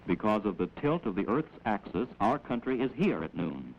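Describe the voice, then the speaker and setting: Male narrator, American, for a 1940s classroom film: lowish voice, explanatory delivery, dull vintage soundtrack with faint noise. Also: big pitch swings, gravelly. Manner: measured, explanatory, instructive. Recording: dull, noisy old 16mm film soundtrack.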